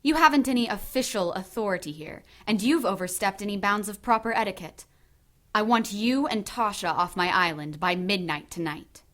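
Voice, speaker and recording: Composed, regal witch high priestess, an actress. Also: animated, a bit bright, close to an everyday voice. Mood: stern dignity. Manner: formal, measured, authoritative. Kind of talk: audio drama acting.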